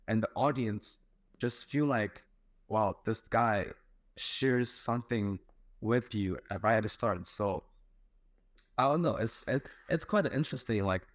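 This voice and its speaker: A young man, his voice sitting slightly low. He speaks as a guest in a loose, hesitant way, leaning on many fillers. The recording is dry, with no room echo. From China. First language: Chinese. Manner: hesitant, heavy use of "like" and "um" fillers. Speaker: guest, content creator. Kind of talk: casual online interview chat.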